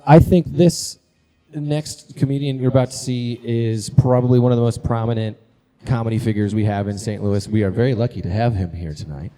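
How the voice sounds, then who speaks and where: A man's voice: medium pitch, mellow. A man hosting a live show, presenting sponsor spots and introductions in a tongue-in-cheek way. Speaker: show host reading sponsor spot and introducing acts.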